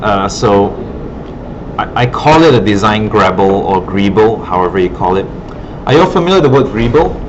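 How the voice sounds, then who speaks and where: A muffled recording with clear background noise carries a man's fairly low voice. He talks like an instructor giving an informal classroom demo. His delivery is hesitant, as if working things out aloud. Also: animated, normal speed. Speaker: digital art instructor. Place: indoor classroom. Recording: muffled, noisy classroom recording with air-conditioning hum.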